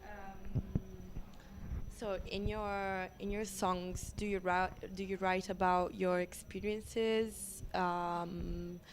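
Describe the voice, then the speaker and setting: Slow, halting woman interviewer. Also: medium pitch, level tone, a little hiss or hum. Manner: halting, rephrases questions. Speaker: radio interviewer. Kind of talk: casual interview questions.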